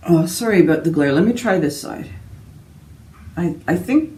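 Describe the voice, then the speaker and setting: A woman's voice: lowish voice, expressive, normal speed, mellow. A woman chatting casually about books as a vlogger, rambling and interrupting herself with asides. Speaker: book vlogger. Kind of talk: casual book chat to camera. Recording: roomy echo, distant from mic.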